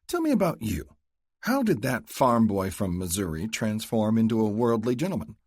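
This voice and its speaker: A man's voice: fairly low pitch, big pitch swings, moderate pace, a little mellow, dry recording, clean studio sound, pitched above the performer's own voice. A man voicing a cool, charming young upper-class woman with a Philadelphia accent and a poised manner.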